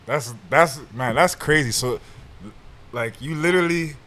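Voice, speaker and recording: A man's voice: a little high, unhurried, sharp tone. A man co-hosting a podcast, asking questions in casual, hesitant chat with slang. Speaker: podcast co-host.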